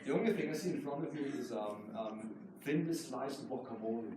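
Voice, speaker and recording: A man's voice: medium pitch, brisk. A man from Switzerland, a native German speaker, speaking as a guest poet at a live poetry reading. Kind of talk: poetry reading with casual asides. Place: indoor live poetry event. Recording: roomy echoing hall, distant mic, noisy.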